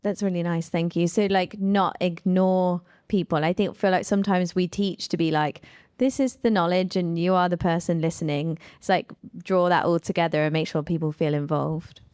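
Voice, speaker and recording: A woman's voice: fairly low pitch, steady tone, moderate pace, a little mellow, clear, resonant tone, dry recording, studio quality. A woman moderating a live panel discussion, talking informally and often using "like" as she thinks aloud. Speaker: panel moderator, science researcher.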